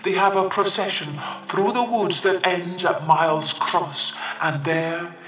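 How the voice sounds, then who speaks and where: A solemn young enchanted knight. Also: male voice, high-pitched, a little slow. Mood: grave. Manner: soft, grave, formal old-fashioned speech. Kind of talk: storytelling. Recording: roomy, distant mic with music.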